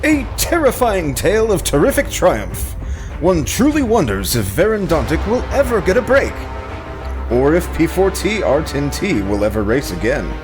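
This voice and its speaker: A man voicing a grandiose, excitable serial announcer, teasing cliffhangers in a pompous, theatrical style. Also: on the high side, normal speed, music in the background. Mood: grandiose, suspenseful. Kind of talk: melodramatic radio serial announcing.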